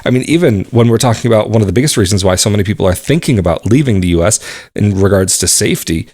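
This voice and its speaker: A man's voice: fairly low pitch, expressive, quick pace, dark tone. A man hosting his own podcast, talking casually and at length, often restarting and hedging his points. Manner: conversational, rambling, many restarts and qualifiers. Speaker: podcast host. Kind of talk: casual solo podcast monologue.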